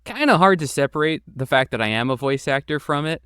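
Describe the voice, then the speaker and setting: A man's voice: fairly high pitch, expressive, brisk, a bit bright, smooth, no room sound, studio quality. A man talking casually as an interview guest, explaining his creative work and thinking aloud as he goes. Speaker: podcast guest, game creator and voice actor.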